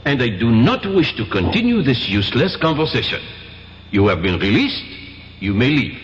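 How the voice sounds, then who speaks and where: An actor plays a cautious, weary French police inspector in a 1970s radio drama. His formal, halting English has a French accent and very lively pitch. The recording is dull, with long reverb. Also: lightly acted. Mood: curt, defensive.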